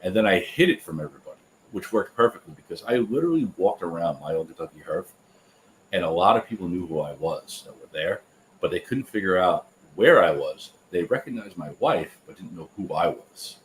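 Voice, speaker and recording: A man joining a live podcast over a video call, telling a story in a relaxed, plain-spoken way. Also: low voice, brisk, a little hiss or hum, rough recording. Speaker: podcast guest, new content creator. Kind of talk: casual live-stream chat.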